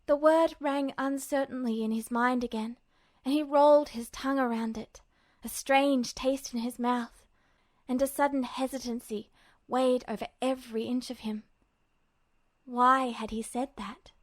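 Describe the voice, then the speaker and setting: A woman reading scripted fiction aloud as an expressive audiobook-style narrator. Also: sits high, a little slow, no echo.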